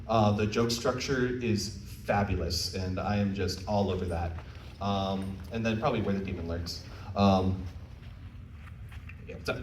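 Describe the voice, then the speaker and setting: A roomy, distant recording of a man giving an informal talk. He is frank and encouraging, chatting to his listeners in a conversational way, with faint noise behind him. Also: on the low side. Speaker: panel speaker. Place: convention panel room.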